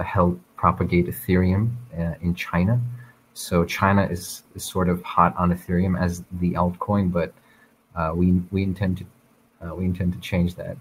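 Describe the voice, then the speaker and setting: Man from Russia, American accent, low voice, halting casual guest, faint hiss behind. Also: rough recording. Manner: hesitant, many fillers and restarts. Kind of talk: casual chat.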